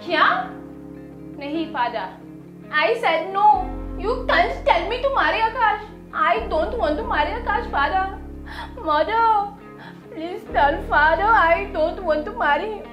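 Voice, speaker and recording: Shrill defiant Indian daughter. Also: female voice, lively intonation, measured pace, roomy sound, highs cut off, music in the background. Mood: defiant, pleading. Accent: put-on Indian. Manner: shrill, pleading, melodramatic. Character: defiant young Indian daughter refusing an arranged marriage.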